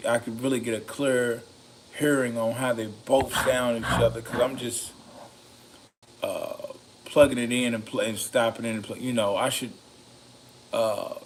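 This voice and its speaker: A raspy-voiced man rambling casually about microphones, slow and meandering, with many ums and a slightly loaded, loose delivery. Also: mid-range voice, a little hiss or hum, low-quality recording. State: a bit intoxicated. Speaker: home studio vlogger reviewing microphones. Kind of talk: rambling casual talk to camera.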